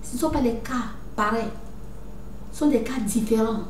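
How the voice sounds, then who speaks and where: A woman's voice: a little high, normal speed, darkish tone. Lecturing woman, echoey, far from the mic. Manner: lecturing, repeats "n'est-ce pas", many restarts. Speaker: video commentator. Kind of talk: casual monologue to viewers. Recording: echoey room, somewhat far from mic, faint noise.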